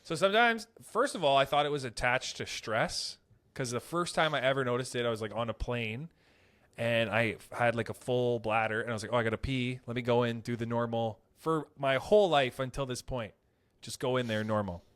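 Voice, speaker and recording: A man's voice: mid-range voice, a little fast, a bit bright. A young man co-hosting a podcast, rambling through crude stories in casual banter and acting out quoted voices. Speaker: podcast co-host.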